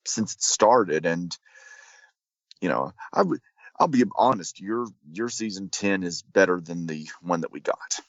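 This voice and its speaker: A man's voice: deep voice, lively intonation, unhurried. A man hosting a casual podcast interview, speaking as an enthusiastic fan. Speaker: podcast host. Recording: muffled, roomy echoing microphone.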